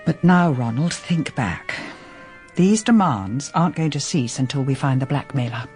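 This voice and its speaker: Shrewd English lady detective, lively intonation. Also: rumbling low, moderate pace, over music. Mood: gentle, insistent. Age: middle-aged. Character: shrewd, poised, sympathetic middle-aged lady detective. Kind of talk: radio drama acting.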